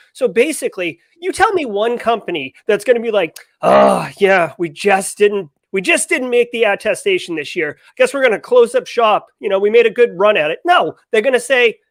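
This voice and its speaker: A man, a cybersecurity expert on a live panel, speaking in an animated, emphatic way over a video call. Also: sits high, no echo, studio quality. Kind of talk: energetic panel discussion.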